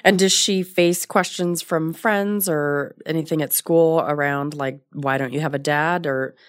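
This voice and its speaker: A woman hosting a podcast, asking questions and affirming her guest warmly. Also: sits low, fairly even intonation, a little slow, clear, resonant tone, dry recording, clean studio sound. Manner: encouraging, affirming interviewer. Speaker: podcast host. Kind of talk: podcast interview.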